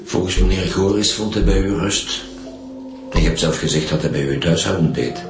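An actor with a Flemish accent playing a calm, polite male police detective who questions a witness. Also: sits low, brisk, roomy sound, music in the background. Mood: probing. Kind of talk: radio drama acting.

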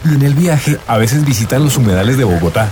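A man's voice: medium pitch, animated, a little fast, a little mellow, natural voice. A man acting an earnest, friendly, inquisitive character in a radio drama. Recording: noisy outdoor ambience, rain.

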